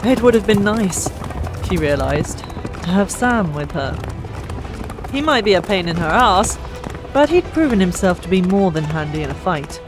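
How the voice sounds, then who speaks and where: A narrator reading a story in a dramatic, expressive way, with drawn-out pauses for emphasis. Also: mid-range voice. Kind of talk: dramatic scripted audiobook narration. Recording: old mic, background music. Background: music.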